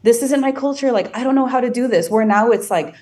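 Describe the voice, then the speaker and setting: A woman's voice: fairly high pitch, quick pace, mellow. A woman in a casual podcast discussion, speaking thoughtfully and hesitantly with many fillers. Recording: a bit distant from the mic.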